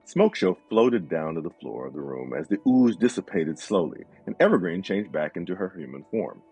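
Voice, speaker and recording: Low, very expressive male narrator. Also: moderate pace, husky, no echo, faint music behind. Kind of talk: dramatic scripted fantasy narration.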